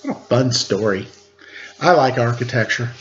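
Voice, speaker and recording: A man's voice: mid-range voice, lively intonation, moderate pace, fairly bright tone, muffled recording, faint music behind. A man hosting a storytime for children, reading aloud and chatting casually to his young listeners.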